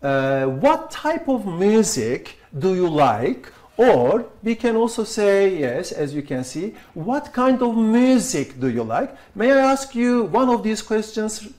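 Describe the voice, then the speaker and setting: A woman's voice: medium pitch, big pitch swings, slow, hardly any pauses, darkish tone. A woman teacher, a native Turkish speaker, leading an English lesson with prompting questions. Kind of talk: classroom role-play lesson.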